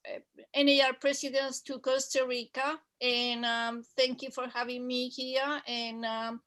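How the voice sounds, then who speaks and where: Slow-paced, higher-voiced middle-aged woman. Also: flat delivery, a bit bright. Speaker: realtor. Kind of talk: self-introduction in a meeting. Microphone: video call.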